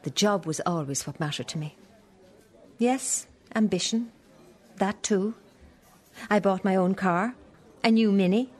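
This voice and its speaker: An actress playing an older Irish mother in a radio drama, reflective and halting as she confesses her past. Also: mid-range voice, darkish tone, natural voice. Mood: candid, defensive. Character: older Irish mother, candid, regretful, recalling her youth. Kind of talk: naturalistic radio drama acting.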